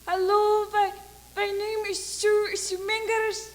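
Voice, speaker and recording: A woman voicing a shy, anxious little immigrant girl in a stage monologue, haltingly rehearsing and repeating herself. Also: very high voice, flat delivery, big-room sound, a little hiss or hum. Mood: nervous.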